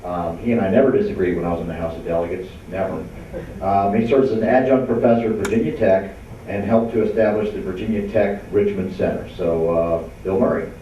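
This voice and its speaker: A man's voice: lowish voice, steady tone, fairly quick, slight background noise. A man moderating a panel, introducing the next speaker in a casual way with joking asides. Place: large meeting room. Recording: far-off, dull, echoey hall.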